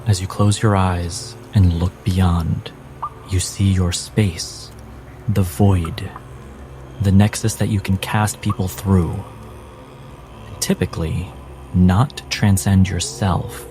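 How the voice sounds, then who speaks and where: A man game master narrating a tabletop game dramatically, with deliberate, suspenseful pauses. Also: deep voice, flat delivery, dark tone. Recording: music behind the voice. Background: music.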